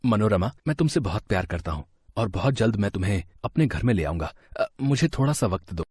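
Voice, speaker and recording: An actor playing an irritable, selfish husband in a storybook family drama, husky-voiced, impatient and insistent. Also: male voice, fairly low pitch, very animated, brisk, darkish tone, no echo, clean studio sound. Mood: pleading.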